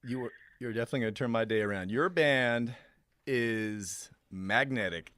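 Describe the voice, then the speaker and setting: A man's voice: medium pitch, normal speed, a bit bright, dry recording. A man working as a radio DJ, interviewing a guest in a casual, enthusiastic way. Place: radio station.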